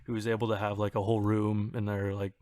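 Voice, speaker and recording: A man co-hosting a podcast, explaining things in an informal, halting way. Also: fairly low pitch, flat delivery, dark tone, smooth, dry recording. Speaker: podcast co-host. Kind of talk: casual explanatory podcast chat.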